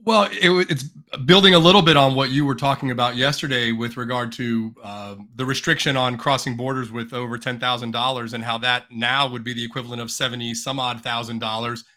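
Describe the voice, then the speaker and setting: Forceful man explaining figures. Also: mid-range voice, fairly even intonation. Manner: measured, explaining figures step by step. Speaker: podcast guest. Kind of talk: casual podcast discussion.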